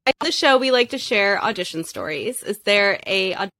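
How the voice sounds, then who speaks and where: A woman's voice: mid-range voice, expressive, a little fast, pushed and bright, dry recording. A woman hosting an interview, asking questions and offering short encouraging responses. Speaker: podcast host.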